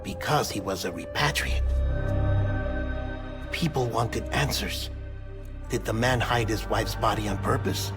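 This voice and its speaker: An actor playing a melancholy, earnest lab-grown man in a cinematic video game, speaking with deliberate, confessional phrasing. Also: mid-range voice. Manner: deliberate, storytelling delivery. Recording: background music under dialogue.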